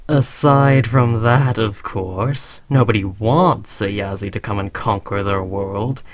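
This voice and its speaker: A man playing a smug, condescending yet indulgent alien overlord who conquered Earth, speaking to a servant in an imperious, teasing way in a roleplay audio drama. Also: lowish voice. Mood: proud, condescending. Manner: unhurried, imperious, teasingly patronizing.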